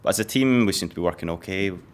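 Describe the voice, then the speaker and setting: A man, a team leader, calmly explaining his game in a casual interview. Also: low-pitched, very fast.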